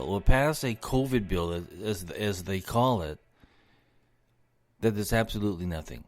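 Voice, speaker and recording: A man's voice: fairly low pitch, darkish tone. A man hosting a solo podcast, losing his voice, talking in an indignant tone and repeating words as he rants. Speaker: podcast host.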